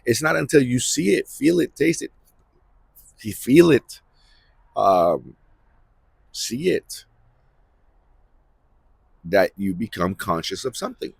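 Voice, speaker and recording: A man hosting a Bible-reading series, giving informal commentary in a halting, repetitive way and often checking for agreement. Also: medium pitch, animated, vocal fry, husky, no room sound.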